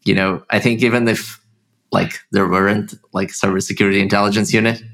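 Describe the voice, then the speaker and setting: A man from Israel with a slight Russian-tinged accent, a startup founder talking casually as a guest, halting and full of fillers. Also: lowish voice, level tone, fairly bright tone. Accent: Russian-tinged Israeli English. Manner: halting, many fillers and restarts. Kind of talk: casual interview talk.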